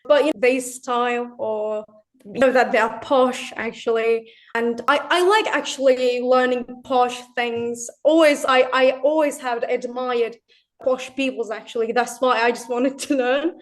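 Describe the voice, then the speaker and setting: A woman's voice: fairly high pitch, steady tone, measured pace. A young woman from Turkey, answering interview questions in English in a hesitant way, often repeating her words. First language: Turkish. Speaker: interview guest, English learner.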